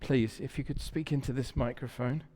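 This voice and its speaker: A man's voice: medium pitch, steady tone, normal speed. A man preaching a conversational sermon as a pastor, telling stories and often repeating words while he searches for the next one. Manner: warm storytelling, repeats words when searching. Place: church sanctuary. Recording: church hall reverb.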